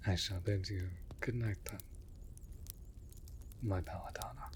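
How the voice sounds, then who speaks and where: Man acting a deep, slow, stately vampire lord. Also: background noise, lightly acted. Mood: flustered, courtly. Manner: stately, archaic formal diction. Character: formal, protective, commanding male vampire master. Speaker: voice actor in an audio roleplay. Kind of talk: slow, intimate scripted roleplay acting.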